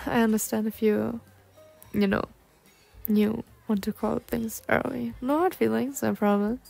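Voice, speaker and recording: Woman playing a high-voiced, proper young cougar-girl. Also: fairly even intonation, measured pace, vocal fry, mellow, faint music behind, heavily acted. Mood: polite, relieved. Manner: formal, proper wording with flustered hesitations. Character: polite, bookish, insecure 23-year-old female cougar-girl on a date. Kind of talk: ASMR roleplay acting.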